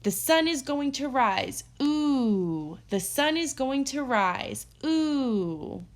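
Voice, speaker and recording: Lady doing a chirpy little storybook squirrel, sing-song, high and cheeky. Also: very animated, extremely slow, exaggerated voice.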